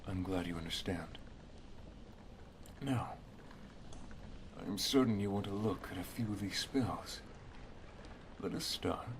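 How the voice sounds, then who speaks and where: A deep, hushed male wizard acted by a man guides an apprentice he loves in an intimate ASMR roleplay. He is protective and gently instructive, often near a whisper. Clear background noise sits behind the voice. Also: takes it slowly, pauses often. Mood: tender, instructive. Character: protective, affectionate male wizard master teaching his apprentice and lover. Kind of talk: ASMR roleplay, intimate second-person monologue.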